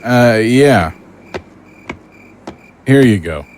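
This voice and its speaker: A man's voice: medium pitch, expressive, extremely slow, a little mellow, low-quality recording. An actor playing a male dramatic role in an audio drama. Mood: alarmed. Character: uneasy man, turning cruel fake doctor in dreams. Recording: clear background noise.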